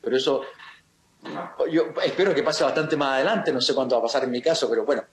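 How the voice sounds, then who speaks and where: A man in his sixties, talking casually in an interview over a video call, halting and often restarting his sentences. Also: mid-range voice. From Chile. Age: 60s. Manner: halting, many restarts and fillers. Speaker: actor, interview guest. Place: home room, video call. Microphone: laptop camera mic on video call. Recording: roomy video call audio.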